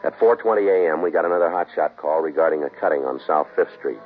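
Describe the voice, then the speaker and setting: A no-nonsense detective, very deep, quick and terse, thin 1950s radio sound. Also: male voice. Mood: clipped. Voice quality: terse, flat, matter-of-fact. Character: clipped, terse male police detective. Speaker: police detective and narrator. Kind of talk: 1950s radio crime drama narration. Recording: tinny old radio broadcast, faint hiss.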